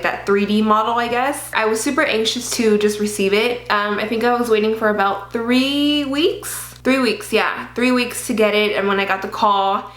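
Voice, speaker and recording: A woman chats through a personal vlog in a loose, filler-heavy way, now and then swearing. Her voice sits at a middle pitch and carries a slight lisp from dental aligners. The recording has a roomy echo. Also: a bit bright. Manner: chatty, many fillers, occasional swearing. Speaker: vlogger.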